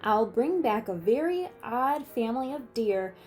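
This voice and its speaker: Female storybook reader, slightly high and mellow, playful delivery of rhyming verse, faint music. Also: expressive, measured pace. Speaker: teacher reading a picture book aloud.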